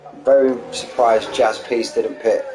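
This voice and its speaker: Hoarse man calling a sim race. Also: mid-range voice. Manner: quick excitable play-by-play, chatty asides to viewers. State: losing his voice, hoarse. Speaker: sim racing league commentator. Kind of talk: live race commentary streamed. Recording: noisy streamed audio.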